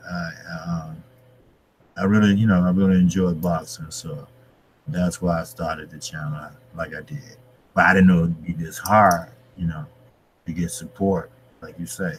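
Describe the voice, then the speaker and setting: A man's voice: low-pitched, fairly even intonation, measured pace, long pauses, a little hiss or hum, low-quality recording. A man in his fifties, a guest in a casual interview, speaking hesitantly with many restarts. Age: 50s. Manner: hesitant, many fillers and restarts, laughs softly. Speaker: guest, boxing channel creator.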